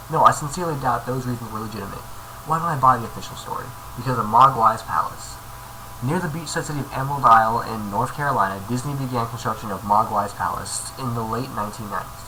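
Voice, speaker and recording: A man's voice: mid-range voice, sharp tone. A man casually reading a horror story aloud, stumbling over words and adding jokey asides. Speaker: creepypasta reader, video host. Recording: noisy amateur home recording.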